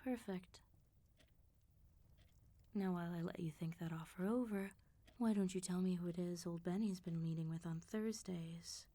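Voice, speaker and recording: A woman voicing a calm, flirtatious, quietly threatening female vampire mob boss in a soft ASMR roleplay. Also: on the low side, moderate pace, pauses often, natural voice. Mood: persuasive, probing. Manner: controlled, smooth-talking, teasing, quietly threatening.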